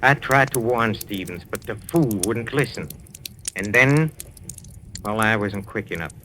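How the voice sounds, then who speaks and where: A suave French detective who poses as a medium. His voice sits low, with a French accent and a courteous, knowingly superior manner. It is old radio drama with light recording noise. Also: animated, a little slow, bright, forceful tone. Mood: assured. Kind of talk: 1940s radio drama acting.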